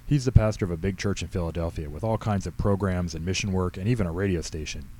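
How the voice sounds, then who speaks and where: A man acts out a middle-aged small-town pastor in a storybook tale. He is anxious and sheepish, explaining himself with embarrassed earnestness in a lowish, soft-edged mellow voice. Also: quick pace. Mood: earnest, anxious.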